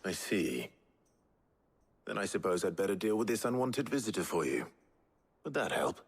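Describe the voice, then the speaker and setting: An actor playing a stoic, dependable fantasy hero in a video game, with a terse, measured, dry way of speaking. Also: male voice, sits low, sing-song, husky, heavily acted. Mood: resolute. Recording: loud music behind the voice.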